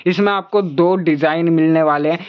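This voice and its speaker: A man's voice: a little high, moderate pace, dull audio. A man hosting a walking tour of a crowded wholesale toy shop, asking the seller for prices and repeating each one back to his viewers. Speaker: market video host.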